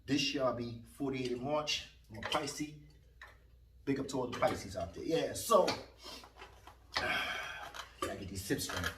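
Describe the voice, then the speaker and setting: A man's voice: medium pitch, unhurried, long pauses, far from the mic, very noisy. A man in his forties, casually rambling to his viewers as host of his own channel. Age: 40s. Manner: rambling, animated, talks straight to viewers. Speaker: vlog host. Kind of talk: casual commentary. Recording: echoey room, voice away from mic.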